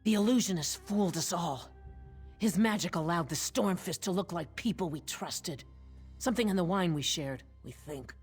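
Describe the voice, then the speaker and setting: An actress playing a solemn ally in a fantasy game, husky and measured, reporting grim news. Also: female voice, fairly low pitch, soft background music. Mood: grave, troubled.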